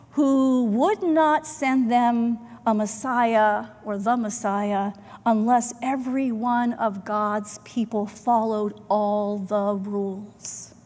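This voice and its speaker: A middle-aged woman pastor preaches at a slow, unhurried pace, her voice sitting a little high. She speaks in a measured, earnest way with deliberate pauses, heard through a pulpit mic. The church sanctuary carries a long, lingering reverb. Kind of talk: sermon. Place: church sanctuary, in front of the chancel. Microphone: pulpit microphone, far camera.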